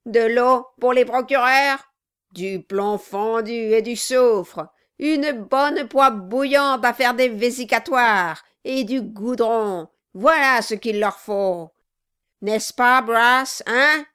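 Lady doing a nasty little dwarf man from a storybook, loud, bossy, mocking, sneery. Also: on the high side, expressive, slow pace, clear, resonant tone. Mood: gleefully cruel. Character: malicious, bullying, sneering male dwarf. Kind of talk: dramatized audiobook reading.

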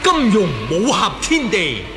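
An actor declaiming a solemn, grand wuxia radio series intro in Hong Kong Cantonese. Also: male voice, high-pitched, huge pitch swings, unhurried, exaggerated voice. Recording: radio intro under loud theme music.

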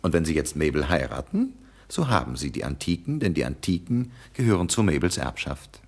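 A man's voice: a bass voice, big pitch swings, a little fast, breathy edge, full character voice. An actor playing a confident detective in a radio drama who accuses his opponent in a dry, defiant way. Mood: accusing. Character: sharp-witted male detective, confident.

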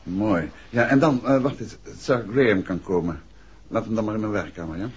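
An actor playing a composed, principled writer and amateur detective in a 1960s Dutch radio play, speaking politely and with assurance. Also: male voice, lowish voice, normal speed, a little hiss or hum, close to an everyday voice. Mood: polite, businesslike. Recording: dull, muffled old radio recording.

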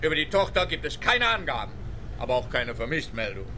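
A man's voice: medium pitch, big pitch swings, a little fast, sharp tone, a little hiss or hum. An actor playing a sardonic, blunt, grumbling male police detective in a German-language radio play, sarcastic and skeptical. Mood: dry, grumbling.

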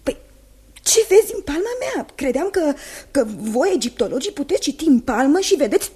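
High-voiced, girlish young fiancée. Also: very animated, dark tone. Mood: playful. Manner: girlish, teasing. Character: playful, girlish young fiancée, coaxing and hopeful. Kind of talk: radio drama acting.

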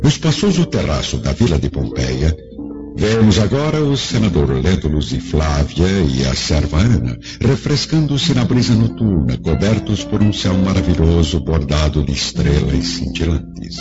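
Very deep-voiced, solemn male narrator. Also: very animated, normal speed. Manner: solemn, ornate, storytelling. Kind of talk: dramatic scripted narration. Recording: dull lo-fi audio under music.

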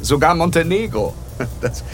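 An actor playing a wealthy, secretive, self-mythologizing gentleman in a radio drama, speaking with courteous, old-fashioned familiarity. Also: a little high, animated, unhurried. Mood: proud. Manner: polished, boastful, addresses listener with old-fashioned familiarity. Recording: studio radio play with music underneath.

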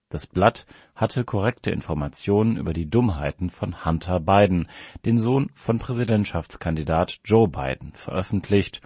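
A man reading a scripted political essay aloud as a presenter, with a husky voice. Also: deep voice, a little mellow, no echo.

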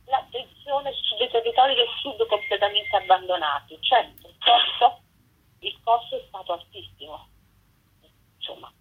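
Over a narrow, tinny telephone line, a woman. Her voice is somewhat husky and sits in the middle range. She talks in a reflective, discursive way, stressing her points as an interviewee does on radio. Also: medium pitch. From Campania, Italy. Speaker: interviewed photographer. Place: remote phone call to a radio show.

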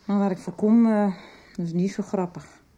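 An actress in a radio soap playing a troubled, wry mother and recovering alcoholic, middle-aged and halting. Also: medium pitch, fairly even intonation, warm tone, natural voice. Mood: anxious, confiding.

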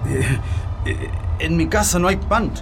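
An actor playing a stubborn, nervous young Chilean activist in a radio drama, speaking hesitantly. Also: male voice, a little high, expressive, unhurried, gravelly, noisy background. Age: young adult. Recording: very loud music under radio drama.

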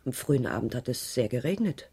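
An actress playing a troubled, earnest woman witness in a German radio crime drama, giving halting testimony with protective defiance. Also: a bass voice, animated, creaky, dark tone, breathy edge, full character voice. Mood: subdued, explaining.

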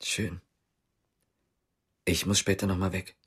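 Police investigator, radio drama: low, dry, terse, guarded. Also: male voice, flat delivery, takes it slowly. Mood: gentle, concerned.

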